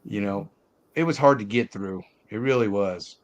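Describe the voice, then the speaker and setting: A man's voice: lowish voice, moderate pace. Hesitant man hosting casual movie talk. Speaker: host leading a film review show. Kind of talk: casual panel chat.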